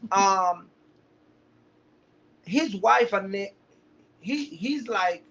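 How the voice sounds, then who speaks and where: Male livestream mentor, high-pitched, chatty and emphatic, on dull lo-fi audio. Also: animated, very slow, fairly bright tone. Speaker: livestream host, trucking business mentor. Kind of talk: casual live chat to viewers. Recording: dull, lo-fi live stream audio.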